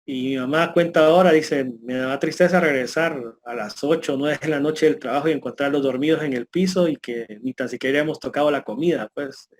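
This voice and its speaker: A man in his late thirties with a Salvadoran accent gives a spontaneous personal testimony, halting and repeating words as he goes. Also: fairly high pitch, a little hiss or hum. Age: late 30s. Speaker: guest speaker giving a testimony.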